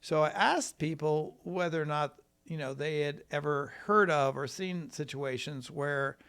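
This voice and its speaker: An American man from Wisconsin, a trial lawyer telling his story in a casual, rambling, folksy and halting way. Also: fairly high pitch, fairly bright tone, smooth. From Wisconsin, United States.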